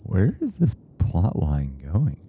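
A man's voice: low-pitched, huge pitch swings, takes it slowly, mellow, slight background noise. A man in his thirties musing thoughtfully as a co-host of a reaction show, talking into a headset in a small room. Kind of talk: casual reaction chat. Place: small streaming room indoors.

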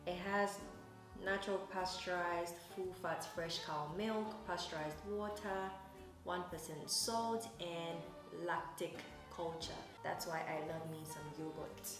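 Low-pitched young woman vlogging, echoey room. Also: unhurried. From Ghana. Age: 20s. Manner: chatty, addressing viewers affectionately. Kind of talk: casual chat to camera. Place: rooms at home, indoors. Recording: echoey rooms at home, music bed.

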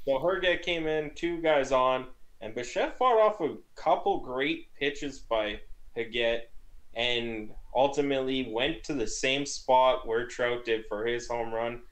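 A young man on a gaming headset talking through a baseball game recap from a small room at home, in quick, lively conversational analysis. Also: medium pitch, rough recording. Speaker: baseball podcast co-host. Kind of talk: casual post-game recap.

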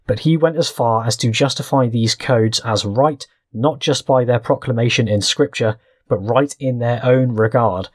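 A man's voice: mid-range voice, dry recording, clean studio sound. A man with a British accent, narrating a scripted video essay as its host. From Britain.

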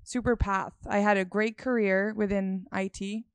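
Young Swedish-born woman, smooth with fry. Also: medium pitch, fairly even intonation, moderate pace, no room sound. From Sweden. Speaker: co-host. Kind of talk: casual chat. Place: living room with couch. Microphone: handheld mic.

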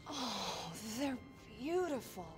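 An actress playing an earnest, hopeful young woman, a tech-savvy survivor in a video game, with a soft voice. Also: high voice, sing-song, slow pace, exaggerated voice. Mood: wistful, proud. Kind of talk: video game cutscene acting. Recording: music behind the voice. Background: music.

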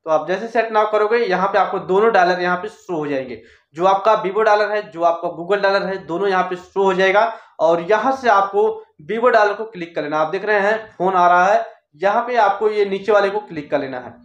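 A man gives a step-by-step phone tutorial in a repetitive, instructional way. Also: high voice. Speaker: tech tutorial host. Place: small indoor office room. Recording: roomy echo, dull lo-fi sound.

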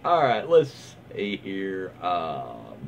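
A middle-aged man casually reading online stories aloud and adding his own comments, in an informal, halting way. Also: deep voice, huge pitch swings. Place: small room at home, indoors, seated in armchair. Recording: dull lo-fi home recording, faint noise.

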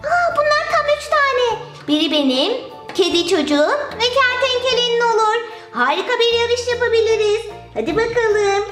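A woman's voice: very high voice, lively intonation, moderate pace, hardly any pauses, a bit bright, echoey room, soft background music. A woman doing playful acted toy play for children in an exclaiming, sing-song manner. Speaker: children's toy show presenter.

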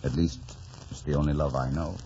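An actor playing a brooding, passionate lower-class young lover in a radio drama, with intense dramatic delivery. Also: male voice, steady tone, brisk, dark tone, slight background noise. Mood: tender, brooding. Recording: dull old radio broadcast with music.

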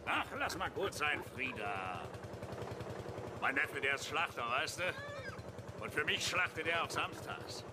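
An actor playing a chatty, coarse provincial farmer in a radio play, talking in a folksy, blunt, rambling way. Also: male voice, fairly high pitch, sing-song, a little slow, long pauses. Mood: jovial, chatty. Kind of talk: German radio play acting. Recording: clear vehicle noise behind.

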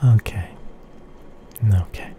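A man explaining headphone measurements slowly and softly, repeating his phrases and often dropping into an unvoiced whisper. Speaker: headphone reviewer. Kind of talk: slow whispery ASMR-style tech explanation.

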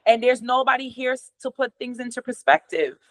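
A middle-aged woman with a patois accent talks on a video call from a small room at home, her fairly high voice sounding dry and close. She explains things in a steady, teaching way, with patois as her first language showing through her English. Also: brisk, no echo. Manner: explanatory, steady, gestures a lot with her hands. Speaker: host. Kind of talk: casual conversation explaining therapy methods. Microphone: video call from a computer camera.

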